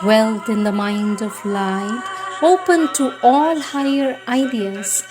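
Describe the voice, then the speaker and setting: Woman reader, fairly high voice, measured and solemn devotional delivery, clear music bed. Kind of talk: slow devotional scripted reading.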